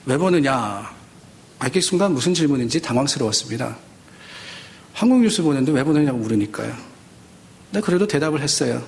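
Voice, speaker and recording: A man's voice: mid-range voice, animated, brisk, gravelly, long pauses. A middle-aged man from South Korea giving a sermon as a pastor, speaking conversationally and posing questions to his listeners. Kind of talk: Sunday sermon with personal anecdotes.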